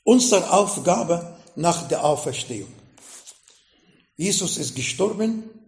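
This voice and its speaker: A man with an Arabic accent preaching a sermon in slow, emphatic phrases that rise sharply in pitch. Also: animated, reverberant. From Middle East. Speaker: pastor.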